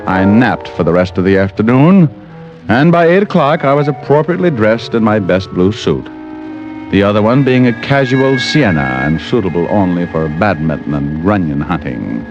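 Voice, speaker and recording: Male hardboiled gumshoe narrator, wry and flippant, very animated delivery, old muffled radio sound. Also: lowish voice, normal speed, over music. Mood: wry, narrating. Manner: sardonic, flippant. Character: wisecracking hardboiled male private detective narrator. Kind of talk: 1940s radio detective acting with narration.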